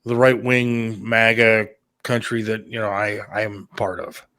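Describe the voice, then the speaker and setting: A man's voice: lowish voice, level tone, a little slow, pushed and bright, no room sound, studio quality. A man hosting a talk show, chatting casually in an informal, plain-spoken, conversational way. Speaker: radio producer and talk show host. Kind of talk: casual chat about an upcoming radio show.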